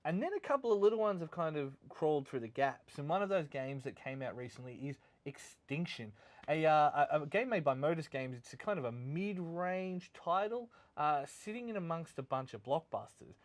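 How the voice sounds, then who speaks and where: An Australian man hosting a video game review show in casual, unscripted chat, speaking haltingly and repeating words as he goes. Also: fairly high pitch, lively intonation, dry recording.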